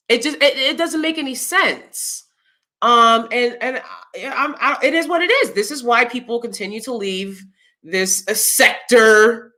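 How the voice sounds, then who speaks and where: Loud, bright-voiced blunt woman vlogger. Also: mid-range voice. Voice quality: loud, clear voice. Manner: blunt, emphatic, talks straight to viewers. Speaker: YouTube commentator. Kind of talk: casual opinion vlog.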